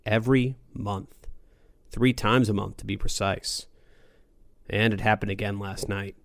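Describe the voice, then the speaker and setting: A man's voice: on the low side, fairly even intonation, unhurried, creaky, no room sound. A man reading a first-person noir story as an audiobook narrator, in a gritty, dramatic manner.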